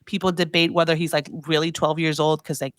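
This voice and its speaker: A woman's voice: low-pitched, flat delivery, fast talker, dry recording, clean studio sound. A woman giving casual conversational commentary as a podcast guest, rambling informally and peppering her sentences with "like". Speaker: podcast guest, food trends newsletter writer.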